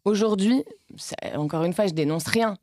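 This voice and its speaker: A woman's voice: medium pitch, normal speed, a little mellow, no room sound, studio quality. French woman in her late thirties, rambling. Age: late 30s. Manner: rambling, many restarts and fillers. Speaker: actress and film producer. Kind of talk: casual interview talk.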